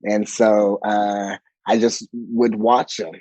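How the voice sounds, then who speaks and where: A man's voice: lowish voice, little pitch movement, slow pace, darkish tone, no echo, highs cut off. A man in his forties from Monterey, California, a choir director talking as a podcast guest, playful and laughing often between ums. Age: 40s. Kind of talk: casual interview chat.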